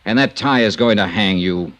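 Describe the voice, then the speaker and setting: An American actor playing a shrewd, hard-boiled male insurance investigator in a 1950s radio drama, with a crisp, deliberate, wry delivery. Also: lowish voice, a bit bright, close to an everyday voice. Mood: coolly accusing. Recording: vintage radio drama recording.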